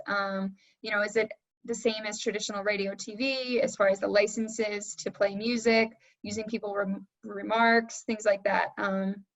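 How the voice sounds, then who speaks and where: A woman's voice: medium pitch, a bit bright. A woman moderating an online panel question session, speaking informally with some hesitant phrasing. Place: remote video call. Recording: dull, muffled online-call audio.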